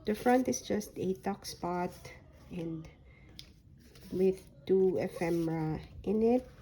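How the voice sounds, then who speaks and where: A woman with a hoarse voice that is recovering from a sore throat, showing a handmade journal folio in a soft, unhurried way with frequent ums. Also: mid-range voice, a little hiss or hum, rough recording. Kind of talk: slow, casual craft flip-through.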